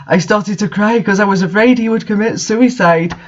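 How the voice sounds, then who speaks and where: A woman's voice: mid-range voice, moderate pace, lo-fi sound, close to an everyday voice. A man voicing a melodramatic goth teenage girl in an exaggerated, whiny way as she narrates her fanfiction.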